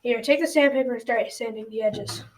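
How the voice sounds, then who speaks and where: A woman's voice: a little high, heavy background noise, lightly acted. A young girl playing a kindly, folksy old grandfather in a school radio drama. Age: child or teen. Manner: folksy, measured advice-giving. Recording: slightly distant school recording.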